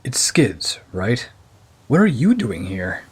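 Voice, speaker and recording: A man playing a practical, slightly exasperated robot crewmate in an audio drama, husky and casual. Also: on the low side, big pitch swings, takes it slowly, slight background noise. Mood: mildly exasperated.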